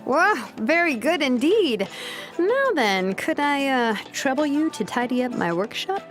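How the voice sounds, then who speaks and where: Young inventor gal, high lively voice, thinks out loud, casual and keen, game style. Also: female voice, measured pace, faint music behind. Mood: delighted. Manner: musing, analytical. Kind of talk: game voice acting.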